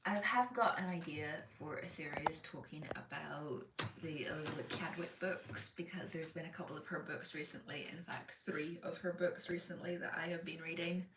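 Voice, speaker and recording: Low-voiced chatty woman, echoey room. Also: normal speed, hardly any pauses, far from the mic, background noise. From Northern Ireland, raised in Spain. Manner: chatty, thinking aloud with many fillers. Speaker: book channel host. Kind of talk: casual livestream chat. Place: home room by her bookshelf.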